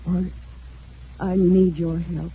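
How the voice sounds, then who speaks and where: A woman's voice: on the low side, little pitch movement, takes it slowly. Hesitant, pleading, remorseful woman. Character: shabby, remorseful woman, long-lost runaway wife. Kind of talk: old-time radio drama acting. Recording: muffled, noisy vintage radio recording.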